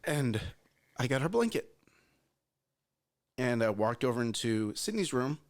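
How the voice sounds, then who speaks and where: A man's voice: medium pitch, sing-song, measured pace. Man, thirties, earnest, repeats phrases, talks straight to listeners, casual storytelling. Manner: earnest, repeats himself, addresses listeners directly. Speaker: morning show co-host.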